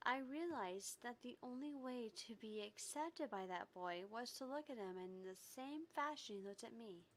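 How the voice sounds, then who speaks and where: A woman's voice: on the high side, slight background noise, low-quality recording. A woman voicing a wounded, devoted young woman making a sorrowful confession in a visual novel read-aloud.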